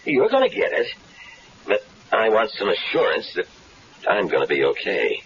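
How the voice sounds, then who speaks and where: Stammering desperate man, deep lively voice, 1970s radio drama, muffled hissy old broadcast. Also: full character voice. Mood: worried. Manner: nervous, halting, stammering. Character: desperate man mistaken for a crook.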